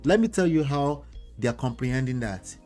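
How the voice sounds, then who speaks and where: A man's voice: mid-range voice, lively intonation, fairly quick, a little mellow, faint music behind. Emphatic Nigerian man commenting. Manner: emphatic, with frequent tag questions like "okay?" and "you know". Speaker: relationship coach. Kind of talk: casual commentary reading viewer comments.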